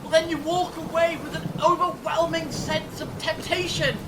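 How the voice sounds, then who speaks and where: An actor playing a clever, grave time-travelling adventurer in a fan audio drama, explaining things in a measured, dramatic way. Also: fairly even intonation, lightly acted. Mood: grave, explanatory. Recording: distant mic, rumbling storm-like noise.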